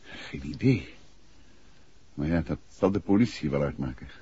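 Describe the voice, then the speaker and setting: An actor playing a calm, probing amateur detective in a 1960s Dutch radio play, with polite, measured questioning. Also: male voice, very deep voice, breathy edge, full character voice. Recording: muffled vintage 1960s radio recording.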